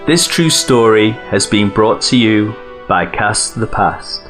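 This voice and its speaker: Man, scripted narration, very slow pace, guitar music underneath. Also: lowish voice, animated, a bit bright. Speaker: host and narrator. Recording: music playing behind. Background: plucked guitar music.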